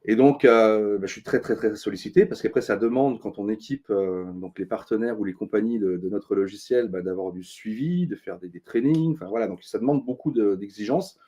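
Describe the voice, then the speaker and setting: A middle-aged man, a consultant being interviewed, talking earnestly with many pauses and restarts. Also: lowish voice, warm tone, breathy edge. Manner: thoughtful, many fillers and restarts, earnest advice-giving. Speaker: interview guest, business consultant. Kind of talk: casual interview talk.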